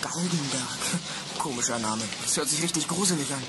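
A woman playing an excitable young boy detective in an old radio play, with an urgent, excited way of talking. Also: extremely low pitch, a little fast, heavy background noise.

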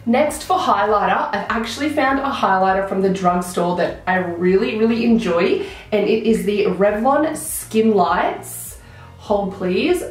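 A young woman with a croaky voice, chatting enthusiastically through a makeup tutorial to a close camera in a small room. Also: mid-range voice. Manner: chatty, enthusiastic product talk. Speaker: beauty vlogger. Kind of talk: casual makeup tutorial chat. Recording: very echoey room.

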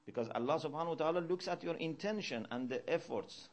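A middle-aged man giving a religious lecture in a mosque hall. He teaches earnestly, quotes Arabic verses quickly and gets louder to stress a point. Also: fairly high pitch. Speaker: Islamic lecturer.

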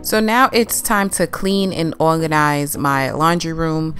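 A woman's voice: low-pitched, a little slow, music in the background. A young woman casually narrating her home cleaning routine, chatty and explaining each step as she goes. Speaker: cleaning vlogger. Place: home laundry room.

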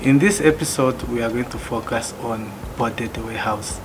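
A man's voice: mid-range voice, normal speed, noisy background. Methodical man explaining customs rules. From Namibia. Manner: plain, methodical, listing items with occasional restarts. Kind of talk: explanatory presentation. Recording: music playing behind.

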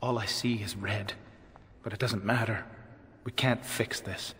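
An actor voicing a bitter, shaken young elf mage in a video game, with a clipped, downcast delivery. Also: male voice, on the low side, fairly even intonation, unhurried, pushed and bright. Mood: defeated. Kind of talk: video game cutscene acting. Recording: long reverberant hall echo.

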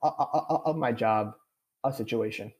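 A man's voice: mid-range voice, a little mellow. Young man who stutters heavily. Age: young adult. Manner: stutters, with many repeated sounds and drawn-out "uh"s. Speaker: podcast guest. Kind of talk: candid interview answers.

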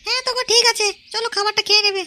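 A woman's voice: very high voice, fairly even intonation, racing, sharp tone, slight background noise, pitched above the performer's own voice. A performer voicing a fussy village wife and mother in a cartoon, whiny and pleading. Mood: fretting.

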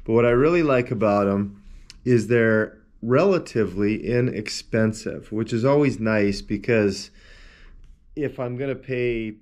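A man's voice: fairly low pitch, unhurried, darkish tone. Easygoing man rambling through a product review. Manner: rambling, easygoing, talks while handling the tub and shaker. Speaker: protein powder reviewer. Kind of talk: casual product review to camera. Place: small indoor room with shelves of supplement tubs. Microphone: camera at a desk, wide framing.

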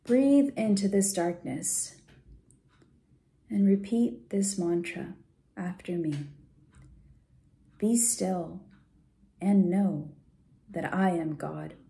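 A woman leading a guided visualization in a soft, gentle, soothing way, unhurried with pauses and at times breathy. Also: on the low side. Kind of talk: guided meditation, soft slow instruction.

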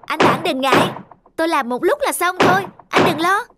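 A woman's voice: extremely high pitch, lively intonation, moderate pace, heavy background noise. A woman voicing an eager, cheerful little boy bear cub in a cartoon. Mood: eager helpful. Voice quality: bright childlike. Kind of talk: cartoon voice acting. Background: music.

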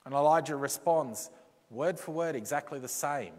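A man preaching a sermon in a church, slow and deliberate, with dramatic pauses and quotes he acts out. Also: on the high side, darkish tone. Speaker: pastor. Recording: reverberant church hall.

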